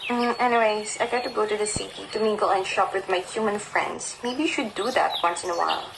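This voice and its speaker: A woman's voice: medium pitch, a little fast, creaky, close to an everyday voice. A woman acting a snooty young neighbour with a condescending, mocking manner, in a student radio drama. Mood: scornful. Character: snooty, dismissive party-going young female neighbour. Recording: noisy track with birdsong behind.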